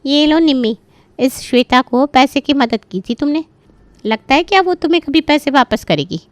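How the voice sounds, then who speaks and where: A woman's voice: high-pitched, lively intonation, moderate pace, dry recording, pitched above the performer's own voice. A woman voicing a gossipy, envious young female friend in a story, speaking in an emphatic, catty way. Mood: catty gossip.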